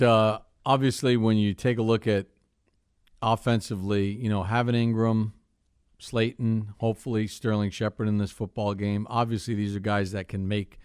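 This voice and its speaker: Smooth low-voiced male sports interviewer. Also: a little mellow, no room sound, clean studio sound. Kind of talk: broadcast interview questions.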